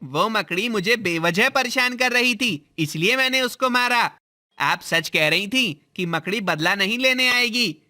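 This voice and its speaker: A voice actor playing a timid little schoolboy who is scared of spiders, talking in an earnest, childlike way for an animated story. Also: mid-range voice, unhurried. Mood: relieved.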